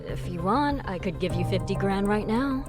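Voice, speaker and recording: A woman's voice: mid-range voice, animated, normal speed, over music, lightly acted. An actress playing a cool, self-possessed, wryly playful woman in a video game, with understated delivery. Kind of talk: video game cutscene dialogue.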